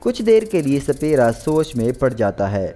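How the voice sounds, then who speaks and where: A man's voice: mid-range voice, very animated, normal speed, warm tone, soft background music. A man narrating a scripted children's story as a storyteller.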